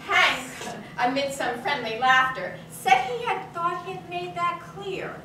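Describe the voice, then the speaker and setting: A woman's voice: very high-pitched, slow pace, pushed and bright, recorded from a distance, full character voice. A woman reading as the storyteller of a mystery novel in a theatrical staged reading. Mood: narrating. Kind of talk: theatrical scripted narration. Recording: echoey, noisy theater recording from off.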